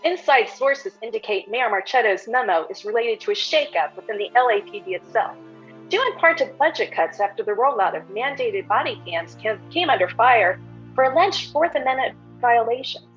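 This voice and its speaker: Woman playing high-voiced TV field reporter. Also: lively intonation, moderate pace, fairly bright tone, husky. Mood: measured. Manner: formal, newsy. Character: composed female TV field reporter. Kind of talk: news report in a screenplay table read. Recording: dull lo-fi audio with faint music.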